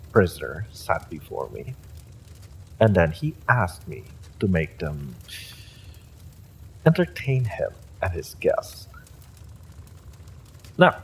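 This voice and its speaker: An actor playing an evil male sorcerer, smugly polite and sadistic, in a slow, theatrical audio drama full of menace. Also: sits low, a little hiss or hum, exaggerated voice, low-quality recording. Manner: slow, deliberate, theatrical threatening delivery. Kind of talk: dramatic audio roleplay monologue.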